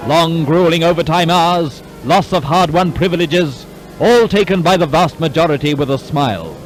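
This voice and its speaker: A man's voice: on the high side, normal speed, poor audio. A man reading scripted wartime newsreel narration in a clipped, dramatic, declamatory way. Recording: 1940s newsreel soundtrack, music behind. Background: orchestral newsreel music.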